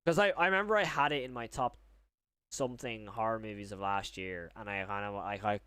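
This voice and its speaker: Smooth-voiced young man rambling on a livestream. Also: fairly low pitch, a bit bright. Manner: rambling, halting, rephrases himself mid-thought. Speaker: live streamer and horror video reviewer. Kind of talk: casual live-stream chat, reacting and reading viewer messages.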